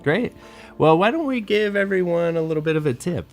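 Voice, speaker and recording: A man's voice: sits high, animated, a little fast, faint music behind. A man co-hosting a podcast, sharing news and tips in a casual, conversational, explanatory way. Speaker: podcast co-host.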